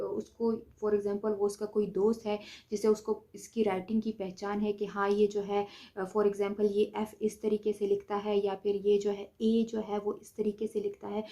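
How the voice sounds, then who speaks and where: A woman's voice: medium pitch, dark tone. A woman giving an explanatory law lecture, going step by step with repetition and mixing in English legal terms, on a dull lo-fi recording.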